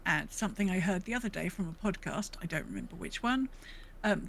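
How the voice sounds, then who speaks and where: A woman co-hosts a podcast in a mid-pitched voice. She moves between casual chat and reading out comments from listeners. There is faint background noise on her recording. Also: rough recording. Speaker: podcast co-host.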